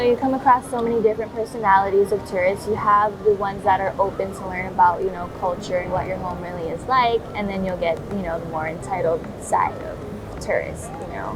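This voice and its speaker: A woman's voice: medium pitch, background noise. A young woman from Waikiki, a professional surfer, talking earnestly in an interview and filling her speech with "you know" and "like". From Hawaii, Waikiki. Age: young adult. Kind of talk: interview talk. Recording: music bed underneath.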